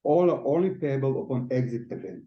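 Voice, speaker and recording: A man's voice: mid-range voice, very animated, brisk. Formal male finance presenter, echoey dull audio. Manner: formal, reading figures. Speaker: chief financial officer.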